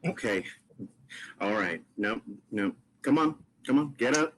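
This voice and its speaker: A man's voice: medium pitch, animated, measured pace, a little hiss or hum, close to an everyday voice. A man on a podcast table read voicing a confident young woman, a model and protective best friend, with a bossy manner. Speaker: podcast host reading a script.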